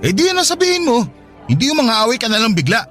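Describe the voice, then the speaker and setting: A man's voice: very high-pitched, big pitch swings, fast, fairly bright tone, faint music behind, heavily acted. A man playing an irritable, evasive boyfriend in an audio drama, defensive and exasperated. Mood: defensive, irritated. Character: irritable, evasive young boyfriend making excuses.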